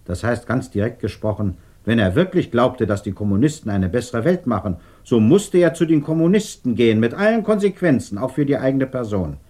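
An actor playing a grave, fatherly man of principle, speaking in measured, formal sentences in a 1950s radio drama. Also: mid-range voice, sing-song, dark tone. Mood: stern, measured. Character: grave, principled, fatherly interrogator with firm convictions.

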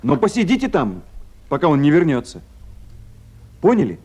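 Animated Soviet official on duty, high-pitched. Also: male voice, normal speed, gravelly, husky, exaggerated voice. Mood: instructing. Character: busy, caring Soviet town official on night duty. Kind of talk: 1970s Soviet radio drama acting.

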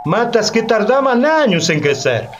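Weary old peasant, slow and swooping. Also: male voice, very high voice, very animated, lo-fi sound, full character voice. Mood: mournful. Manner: rustic, rambling, brooding, with slow drawn-out phrases. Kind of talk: Spanish-language radio theatre monologue. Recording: noisy recording with loud ambient background.

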